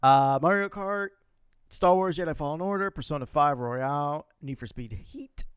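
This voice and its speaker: Young dude on a podcast, smooth clear voice, dry sound, swears a lot. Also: male voice, fairly high pitch, normal speed, dry recording. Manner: loose, swearing, reads lists with laughs. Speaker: podcast co-host. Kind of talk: casual chat.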